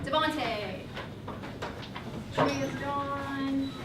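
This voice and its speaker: A woman's voice: high-pitched, extremely slow, very noisy. Encouraging woman teaching in a roomy classroom. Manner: upbeat, encouraging, explains step by step. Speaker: college communication instructor. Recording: roomy classroom recording from a distance.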